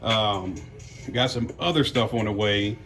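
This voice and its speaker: A man's voice: on the low side, a little slow, fairly bright tone, soft background music. An American man giving casual unboxing commentary as a car audio vlogger, talking in a folksy, slangy way.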